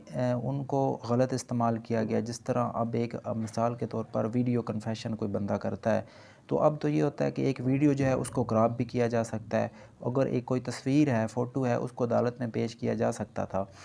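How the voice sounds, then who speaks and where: A man's voice: medium pitch, vocal fry, dark tone. A man with a Pakistani accent giving an explanatory law lecture, didactically repeating and rephrasing his points. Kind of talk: explanatory lecture mixing in English legal terms.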